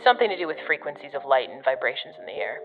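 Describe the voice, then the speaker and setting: A woman's voice: rumbling low, big pitch swings, rapid-fire, phone-quality sound, faint music behind, exaggerated voice. A woman playing a jaded agency operative in an audio drama, dry, sarcastic and casual, heard through a radio filter. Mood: matter-of-fact. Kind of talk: audio fiction podcast acting.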